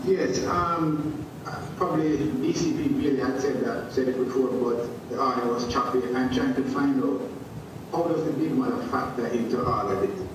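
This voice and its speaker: A man's voice: mid-range voice, moderate pace. Very echoey, distant, stammering male reporter. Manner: hesitant, stammering. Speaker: news journalist. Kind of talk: press question. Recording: very echoey, distant, noisy remote connection.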